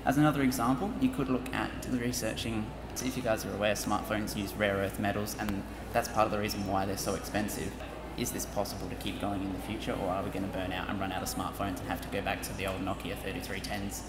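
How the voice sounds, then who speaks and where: A young man giving an upbeat talk to high school students from a lectern, adding joking asides. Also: level tone, fast, hardly any pauses. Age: young adult. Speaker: university presenter. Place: large auditorium with stage. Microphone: lectern microphone. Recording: echoey hall with audience noise.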